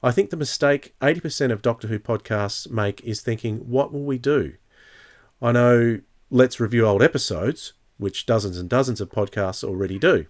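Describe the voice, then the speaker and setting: A man with a medium-pitched voice talks as a podcast host. He lays out his opinions in a measured, considered way, keeping it conversational. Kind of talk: casual podcast discussion.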